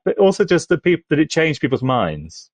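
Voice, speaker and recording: A man's voice: fairly high pitch, huge pitch swings, moderate pace, no echo, lo-fi sound. A man speaking as a podcast guest in thoughtful critical discussion, often restarting his phrases as he goes.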